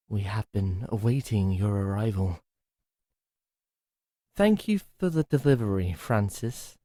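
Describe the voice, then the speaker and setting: A man acting in an audio roleplay as a playful, sinister manor servant, talking slowly and theatrically. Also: mid-range voice, dark tone, dry recording, studio quality. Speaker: servant called Happiness greeting a captive.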